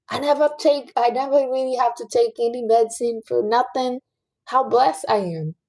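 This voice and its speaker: Mellow, husky woman in her late thirties. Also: a little high. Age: 30s. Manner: chatty and earnest, giving life advice to viewers. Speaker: livestream host. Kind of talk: casual livestream chat.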